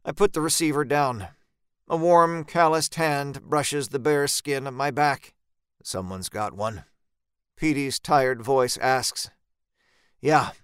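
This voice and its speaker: Clear, higher-pitched male horror narrator. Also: fairly even intonation, measured pace, no echo, clean studio sound. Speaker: story narrator. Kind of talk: dramatic first-person horror audiobook narration. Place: close, dry recording space.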